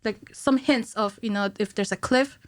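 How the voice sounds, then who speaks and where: Female podcast guest, thirties, mid-range voice, conversational explainer who pauses to think; dry studio recording. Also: medium pitch, no room sound. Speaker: podcast guest, cinematic animator. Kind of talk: conversational technical explanation in an interview. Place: small indoor podcast studio. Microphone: studio microphone on a stand.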